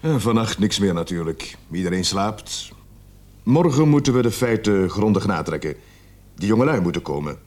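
Sergeant for radio play: fairly deep, gruff, distrustful, tired but authoritative. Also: male voice, expressive, moderate pace, darkish tone. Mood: weary, resolute. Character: gruff, wary police sergeant in charge. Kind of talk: Dutch radio play acting.

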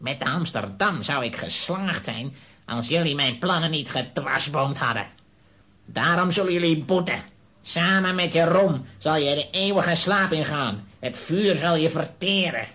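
An actor playing a sinister, boastful sorcerer villain in a children's audio drama, speaking in measured, menacing pronouncements. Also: male voice, fairly high pitch, sharp tone.

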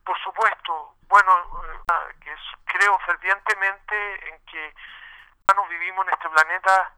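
A man's voice: high voice, fairly even intonation, a little slow, sharp tone, smooth, rough recording. A middle-aged man with a Chilean accent, a musician answering casually in a radio interview, often searching for his words. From Santiago, Chile.

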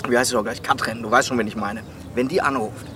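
A man's voice: medium pitch, fairly even intonation, quick pace, pushed and bright, a little hiss or hum, lightly acted. A man playing a detective's blunt, talkative buddy in a crime radio drama, colloquial and needling.

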